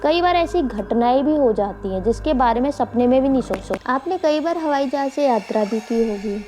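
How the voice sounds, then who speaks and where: A woman's voice: sits high, expressive, fairly quick, mellow. A woman narrating a mystery story for an online channel, informally addressing her listeners as host. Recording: faint background noise.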